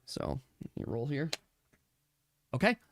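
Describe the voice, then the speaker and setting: A man acting as game master, casually narrating a tabletop game in a halting, thinking-aloud manner. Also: medium pitch, wildly swooping pitch, vocal fry, a little hiss or hum. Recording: dry close mic with music behind. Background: music.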